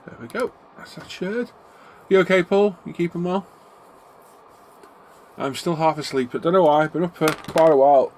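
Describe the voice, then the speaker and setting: A man's voice: fairly high pitch, moderate pace, a little mellow. A middle-aged man, a little bunged up from a cold, chatting easily with viewers on his live stream. He greets people by name and talks in an everyday tone. His desk mic picks him up in a home hobby room, with a faint hiss behind him. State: congested, getting a cold. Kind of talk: casual live stream chat.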